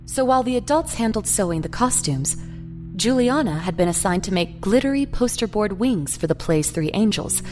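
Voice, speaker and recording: A woman's voice: mid-range voice, lively intonation, moderate pace, darkish tone, soft background music. A woman reading scripted narration for an audio drama.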